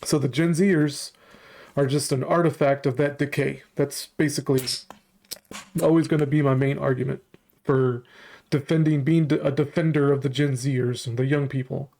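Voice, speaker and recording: Flat-toned man rambling through commentary. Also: medium pitch, gravelly. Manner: rambling, halting delivery with frequent ums and restarts, casual swearing. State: lingering cough and slight congestion. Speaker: commentary channel host. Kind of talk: casual reaction commentary to camera.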